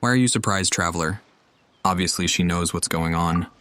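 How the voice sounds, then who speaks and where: A man casually commenting over gameplay, thinking out loud in a relaxed way. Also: sits low, brisk, noisy background. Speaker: let's play gamer.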